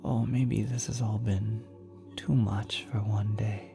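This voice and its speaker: A woman voicing a kind, nurturing celestial being in a soft, breathy, often whispered ASMR roleplay, gently reassuring the listener. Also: rumbling low, level tone, slow pace, exaggerated voice. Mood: soothing, reassuring. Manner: gentle, reassuring, hesitant, coaxing. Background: spacey ambient music.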